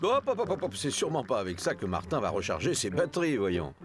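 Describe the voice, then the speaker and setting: A man's voice: medium pitch, big pitch swings, quick pace, natural voice. An actor playing a jolly, hearty grown man in a French children's cartoon. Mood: jovial. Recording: loud background music.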